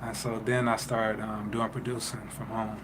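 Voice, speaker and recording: Man from Detroit, music producer guest, even monotone delivery, noticeable room noise. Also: lowish voice. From Detroit, Michigan. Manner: halting, many fillers and restarts. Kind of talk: casual interview answers. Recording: noisy ambience behind voice.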